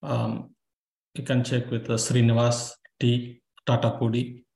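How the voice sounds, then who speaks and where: Halting man on an echoey call. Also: mid-range voice, monotone, vocal fry, darkish tone. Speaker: caller. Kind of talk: hesitant question asking. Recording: echoey conference call line.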